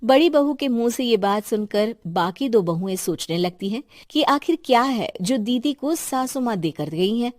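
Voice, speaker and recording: A woman's voice: a little high, expressive, moderate pace, hardly any pauses, a little mellow, dry recording, clean studio sound. A woman reading scripted narration for a family cartoon story.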